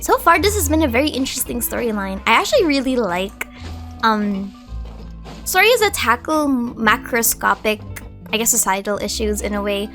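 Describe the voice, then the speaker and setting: A woman streamer with a fairly high voice and music behind her. She comments casually on a game, chatty and reflective, with a jokey streak. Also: very animated, normal speed, bright, forceful tone. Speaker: gaming streamer.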